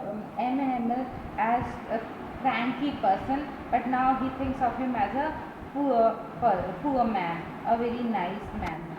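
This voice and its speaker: A woman's voice: fairly high pitch, lo-fi sound. A woman teaching an English lesson in a classroom with an Indian English accent, slipping into Hindi to explain, rephrasing words and checking with "right?". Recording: echoey room with loud background noise.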